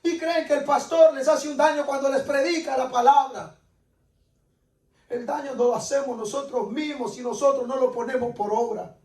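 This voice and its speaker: A man's voice: extremely high pitch, steady tone, normal speed, darkish tone. A man preaching a sermon as dramatic personal testimony, repeating his phrases with insistent emphasis. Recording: echoey room, distant microphone.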